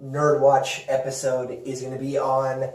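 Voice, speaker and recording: A man's voice: medium pitch, completely flat delivery, measured pace, darkish tone. A man hosting a podcast in casual chat, often rephrasing as he addresses viewers. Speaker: podcast host. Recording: roomy, distant mic with clicks and noise.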